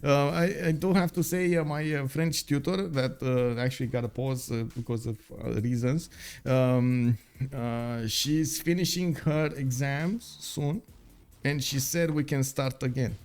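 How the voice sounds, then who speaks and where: Young male live caster, native Romanian speaker, filler-heavy and upbeat, talks to chat, medium pitch. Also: fairly even intonation, unhurried, a little mellow, clean tone. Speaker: game caster. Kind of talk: live esports commentary.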